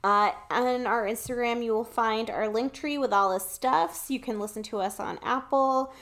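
A woman's voice: a little high, normal speed, fairly bright tone. A woman co-hosting a podcast, chatting casually and informally about films, with some offhand swearing. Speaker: podcast co-host. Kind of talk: casual film discussion podcast chat.

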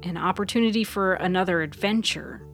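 A woman's voice: on the low side, a little fast, over loud music, close to an everyday voice. A woman voicing a bold, adventurous girl with an emphatic, indignant manner in a story podcast. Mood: eager. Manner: emphatic, insulted bluster.

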